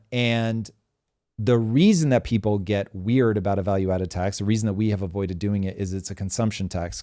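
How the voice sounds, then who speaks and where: A man's voice: lowish voice, warm tone, no room sound, studio quality. A man hosts a live show and talks animatedly, explaining things at length with examples. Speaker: show host.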